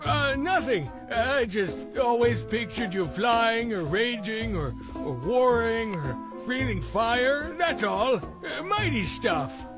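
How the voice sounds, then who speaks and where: A man's voice: extremely high pitch, big pitch swings, slow, exaggerated voice. A man voicing a nervous, fawning cartoon henchman who talks hesitantly to please his master. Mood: nervous, placating. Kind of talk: animated cartoon voice acting. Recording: clear music behind the voice.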